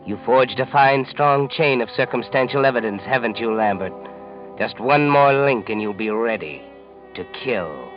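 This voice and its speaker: An actor playing a sardonic, ominous, all-knowing narrator of a 1940s radio mystery. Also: male voice, mid-range voice, animated, moderate pace, lightly acted. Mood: sardonic, menacing. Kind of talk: 1940s radio drama narration, addressing the character. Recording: dull vintage radio, music behind.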